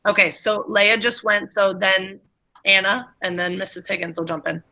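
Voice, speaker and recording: A woman's voice: mid-range voice, flat delivery, normal speed, pushed and bright. A woman working as a drama teacher, prompting, correcting and explaining cues during a script read-through. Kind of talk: classroom coaching mixed with reading a play script aloud.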